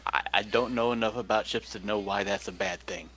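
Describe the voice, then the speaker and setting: A man's voice: lowish voice, fairly even intonation, fairly quick, close to an everyday voice. A man voicing a nervous young male student field surgeon who stammers and half-whispers, over background noise. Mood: bewildered.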